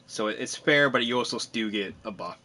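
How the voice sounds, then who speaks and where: A man's voice: lowish voice, animated, fairly quick, pushed and bright. A man casually commentating on his live game stream, chatty and self-deprecating, thinking aloud as he plays.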